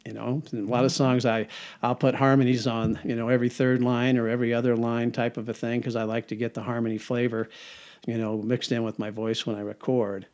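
A man's voice: mid-range voice, level tone, fairly quick, darkish tone. Rambling older man songwriter. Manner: rambling, repeats words, chuckles often. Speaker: singer-songwriter guest. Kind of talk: casual interview answers.

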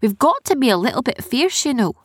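A fierce, warm-hearted pirate woman from a children's storybook, acted out by a woman narrator. Her voice lifts high with a husky, breathy edge, and she talks in a plain, colloquial way. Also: sing-song, fairly quick, dry recording, studio quality, heavily acted. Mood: fierce, wary. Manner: colloquial, says yous and lassie.

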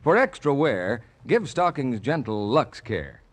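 A man's voice: mid-range voice, big pitch swings, measured pace. A man reading scripted advertising copy as a commercial announcer in 1940s radio style.

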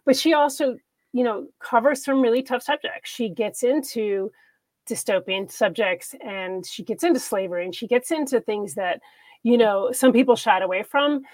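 A woman's voice: fairly high pitch, dry recording. Earnest woman author, dry close sound. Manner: thoughtful, earnest, laughs easily. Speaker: author guest. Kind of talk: casual interview conversation.

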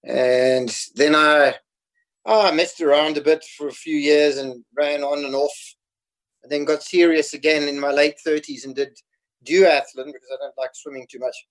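South african guy, 50s, bit higher voice, chatty, explains stuff patiently over a video call. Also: fairly even intonation, normal speed, low-quality recording. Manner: explains patiently, laughs easily. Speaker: podcast guest. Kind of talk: casual conversation.

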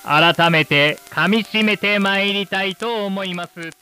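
A man playing a rousing, showy host of an old-fashioned live TV special, with formal, emphatic, drawn-out announcing. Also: high-pitched. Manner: grandiose, emphatic, drawn-out phrasing. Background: background music.

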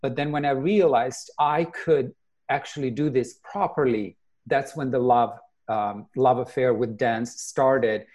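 A middle-aged man from Serbia, a ballet dancer telling his life story in an interview, thoughtful and unhurried. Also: mid-range voice, a little mellow.

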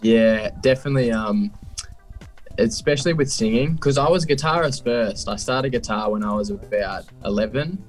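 A young man, a musician guest, talking casually in an interview, relaxed with frequent laughs and ums. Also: mid-range voice, moderate pace, soft background music.

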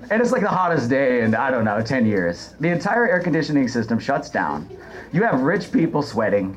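A man's voice: mid-range voice, sing-song, moderate pace, a crowd in the background, rough recording. A man telling comic stories casually as a podcast guest, with a chuckling, stammering delivery.

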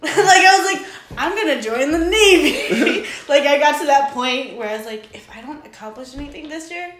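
A woman's voice: high-pitched, expressive, normal speed, fairly bright tone, reverberant. A woman in her twenties chatting casually, full of fillers and giggles. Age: 20s. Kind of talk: casual chat.

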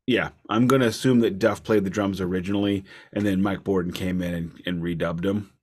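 A man co-hosting a podcast, casually discussing albums in a wry, deliberate way, repeating phrases for emphasis. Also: deep voice, fairly even intonation. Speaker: podcast co-host reviewing albums.

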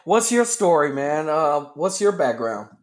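A man's voice: fairly high pitch, big pitch swings, unhurried, over a noisy crowd. A man hosting a podcast, asking his guests friendly, curious questions in casual talk. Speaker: podcast host.

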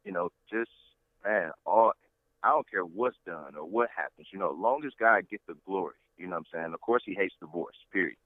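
Deep-voiced guy rambling like a preacher, lots of you-knows, tinny phone sound. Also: fairly quick, dry recording. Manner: rambling, many fillers and restarts, preacherly. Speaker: interview guest. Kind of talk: casual interview talk.

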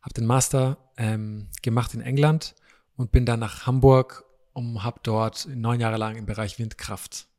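A man's voice: mid-range voice, steady tone, a little slow, a little mellow. A man in his thirties from Austria, a guest on a casual podcast interview who talks in a friendly way and keeps affirming with short agreeing words. He was recorded in a youth camp dining hall. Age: 30s. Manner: friendly, affirms often with "genau".

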